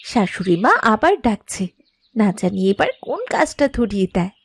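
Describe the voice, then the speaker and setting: A woman playing a lazy, whiny young village daughter in an animated cartoon, with dramatic complaints. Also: mid-range voice, moderate pace, breathy edge, no room sound. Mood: lazy, complaining.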